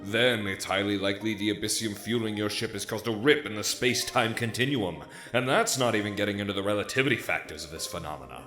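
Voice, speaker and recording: Echoey lowish voice, doting ghost father, solemn, rambling, wordy, cartoon comedy. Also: fast, hardly any pauses, pushed and bright. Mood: ominous. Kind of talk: animated comedy voice acting. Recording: echoing, music behind. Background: music.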